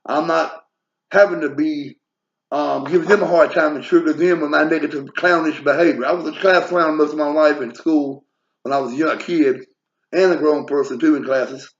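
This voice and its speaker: A man's voice: a little high, fairly even intonation, normal speed. A man in his forties talking bluntly and profanely in a rambling personal monologue, drifting into asides. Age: 40s. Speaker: vlogger. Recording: lo-fi muffled audio.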